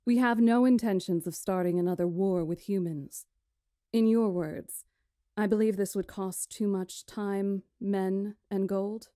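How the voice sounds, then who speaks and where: A woman as a mellow, composed young witch. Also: mid-range voice, steady tone, dry recording, studio quality, natural voice. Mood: calm. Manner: measured, formal storytelling. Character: composed, dignified young witch who keeps the chronicles. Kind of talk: visual novel voice acting.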